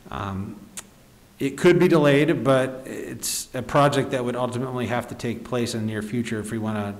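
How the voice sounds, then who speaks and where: A man's voice: mid-range voice, moderate pace. Formal male official in an echoey chamber. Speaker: city staff official presenting the budget. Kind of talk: explanatory budget briefing. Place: indoor council chamber. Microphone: desk microphone, speaking through a face mask. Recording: echoey meeting room.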